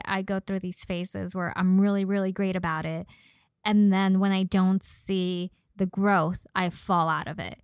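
A woman's voice: medium pitch, clear, resonant tone, no echo, studio quality. A young woman from Los Angeles with a slight Valley girl accent, chatting casually as a podcast guest. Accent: slight Valley girl Californian. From Los Angeles, born in Mexico. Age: young adult. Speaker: podcast guest, model and personal trainer. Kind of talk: casual conversational interview.

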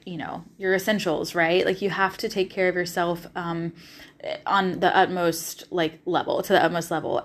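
A woman from the American South with a Southern accent, hosting a podcast in a casual, enthusiastic motivational monologue, swearing freely and using plenty of fillers. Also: fairly low pitch. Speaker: podcast host.

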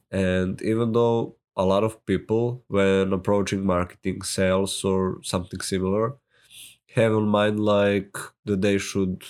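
Slow, low-voiced man with a Serbian accent. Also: a little mellow. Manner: hesitant, many filler words and restarts. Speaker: solopreneur sharing marketing advice. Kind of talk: casual talk to camera.

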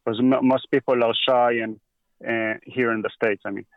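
Israeli-accented male guest, halting unhurried delivery, dry slightly dull recording. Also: medium pitch, level tone, no room sound. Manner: halting, with frequent pauses and restarts. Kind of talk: casual conversation.

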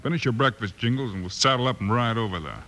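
An actor playing a calm, commanding Western hero in an old radio drama with audible noise. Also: male voice, mid-range voice, fast talker, natural voice. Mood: calm, inquiring. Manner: calm, direct, authoritative. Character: steady, commanding cowboy lawman hero. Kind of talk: 1950s radio western acting. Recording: noisy old-time radio recording.

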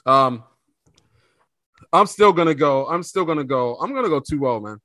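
A man's voice: on the high side, lively intonation, normal speed, bright, forceful tone, dry recording. Male host, playful and loose, repeats lines, dry close sound. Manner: playful, repeats himself, slangy. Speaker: podcast host. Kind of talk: casual sports chat.

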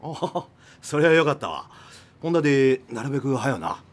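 A lively, folksy island inn worker played by a man chats casually. It is radio drama dialogue with faint background ambience. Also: mid-range voice, normal speed, background noise, natural voice. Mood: relaxed, chatty.

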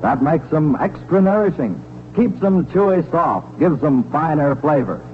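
Casting: male American announcer, vintage radio ad, cheerful sales patter, lively highish voice. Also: unhurried. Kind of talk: 1940s scripted radio commercial. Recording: muffled, noisy 1940s radio recording.

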